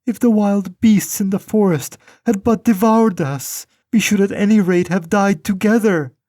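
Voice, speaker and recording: A man voicing a frightened little girl in a storybook reading, raising his voice high and pleading. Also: unhurried, a little mellow, dry recording, studio quality.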